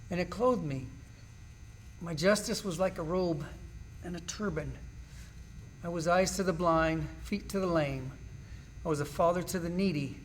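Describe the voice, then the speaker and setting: A man performs a suffering, righteous man from a Bible drama. His voice sits fairly high for a male, and he declaims his grievance and innocence in solemn, measured phrases. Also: natural voice. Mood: anguished lament. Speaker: amateur actor in a church class drama. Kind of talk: dramatized scripture recitation.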